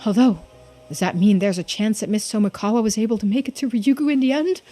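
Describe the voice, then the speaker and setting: A woman voicing a florid, well-spoken romantic gentleman in a video game, with formal, dramatic delivery. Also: medium pitch, quick pace, faint music behind, close to an everyday voice. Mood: disappointed. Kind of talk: video game dialogue read aloud in character.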